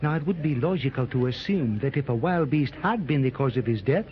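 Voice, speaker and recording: An actor playing a shrewd middle-aged Frenchman with a French accent, musing aloud in a theatrical, drawn-out way, in 1930s radio acting on a dull old recording. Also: medium pitch, expressive, lightly acted.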